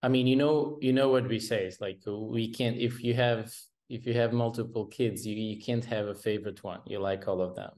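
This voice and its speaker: Guy, 40s, lowish voice, lots of uh and restarts, talking on a video call from home. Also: fairly even intonation, normal speed, a little mellow. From Portugal. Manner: hesitant, many restarts and fillers. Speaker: venture capital guest. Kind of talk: interview over video call. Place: home room with painting behind.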